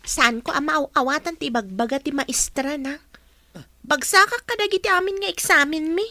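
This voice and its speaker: A woman with an Ilocano accent playing a pouty, excitable young schoolgirl daughter in a radio comedy drama. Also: high voice, normal speed, no room sound. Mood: defensive.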